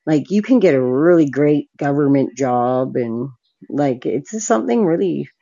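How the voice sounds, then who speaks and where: A woman's voice: low voice, expressive, a little slow, gravelly, dark tone, highs cut off. A woman of forty from Canada, hosting a stream and chatting candidly with a caller, laughing often. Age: 40s. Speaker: stream host. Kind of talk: casual chat with a caller.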